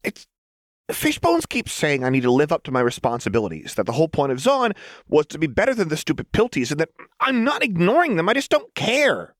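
A brash, agitated young woman from a fantasy story, acted out by a male reader. The voice sits far higher and bristles with sullen, snappish energy. It is recorded dry and close. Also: wildly swooping pitch, no room sound. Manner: sullen, snappish, fast muttering outbursts.

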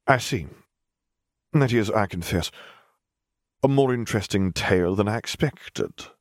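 A man voicing a haughty, menacing male stranger with a formal, measured manner, in an audiobook. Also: lowish voice, expressive, no room sound, clean studio sound. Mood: composed, probing. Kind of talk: audiobook character voice.

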